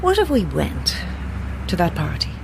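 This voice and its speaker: An actress in an audio drama playing a sceptical middle-aged woman novelist with a husky voice and wry, deadpan wit. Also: lowish voice, normal speed, background noise, full character voice. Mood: drowsy, coaxing. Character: sceptical, dry-witted mature female horror novelist.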